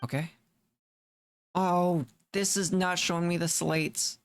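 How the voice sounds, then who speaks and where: Casual, questioning game streamer, medium pitch. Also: steady tone, slow pace. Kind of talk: casual reacting and commentary during gameplay.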